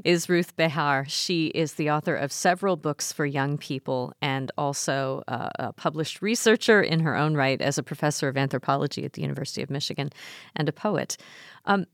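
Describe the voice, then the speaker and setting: Dry, low-voiced woman interview host. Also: no room sound. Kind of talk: radio interview.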